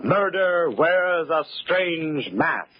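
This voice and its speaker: A man hosting and narrating a 1940s radio mystery in a hushed, ominous, drawn-out way. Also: on the high side, expressive. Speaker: narrator and host. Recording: dull old radio broadcast with music.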